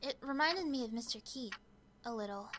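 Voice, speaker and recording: A woman's voice: a little high, expressive, fairly quick, lightly acted. An actress playing an observant young female witness in a game, speaking earnestly and a little hesitantly. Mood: earnest, uneasy. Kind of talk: game drama dialogue. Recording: clear ticking background noise.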